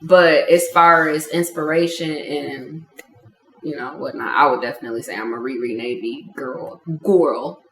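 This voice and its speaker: A woman from the Chicago suburbs chats as a podcast guest. She is animated and bubbly and laughs often. The room gives her voice a clear echo. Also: low voice, moderate pace, slight background noise. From Chicago South Suburbs. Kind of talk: casual chat.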